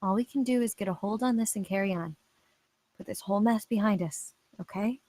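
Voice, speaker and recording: Actress as a concerned, steadfast woman cop, medium pitch, dry drama table read. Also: fairly quick, warm tone, no echo, lightly acted. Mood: earnest, firm. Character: steady, worried female police officer, loyal friend.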